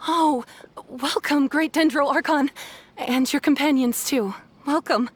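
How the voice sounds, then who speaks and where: High-voiced, sweet shopkeeper. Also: female voice, a little slow. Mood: welcoming. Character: friendly, sweet female flower shop keeper, wife. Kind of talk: video game voice acting.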